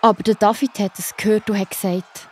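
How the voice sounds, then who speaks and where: A woman's voice: medium pitch, fairly even intonation, a little fast, slight background noise. A woman with a Swiss German accent narrating a scripted children's story expressively.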